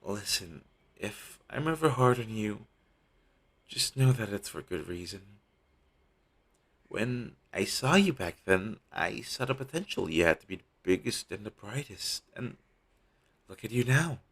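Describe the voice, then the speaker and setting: A man playing a devoted, apologetic talent agent in an audio roleplay, earnest and hesitant, with a husky, gravelly voice on a low-quality amateur recording. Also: animated, a little slow, a bit bright, full character voice. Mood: sincere, fond. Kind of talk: scripted audio roleplay, intimate one-sided dialogue.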